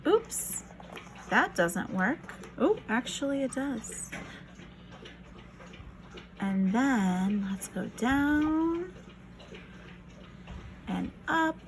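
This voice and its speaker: Female children's read-aloud teacher: unhurried, lively pitch swings, encouraging and instructional, slight noise behind. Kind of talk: reading a picture book aloud to young children.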